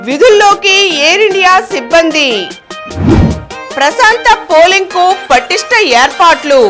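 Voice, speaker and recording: A woman's voice: extremely high pitch, animated, moderate pace, bright, forceful tone, soft background music. A woman presenting scripted news headlines and reports as a newsreader.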